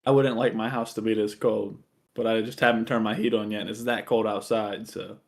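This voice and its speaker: A man's voice: fairly low pitch, flat delivery, fairly quick, vocal fry. A slightly tired man co-hosting a podcast in a casual, conversational way. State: a little tired. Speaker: podcast co-host. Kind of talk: casual chat.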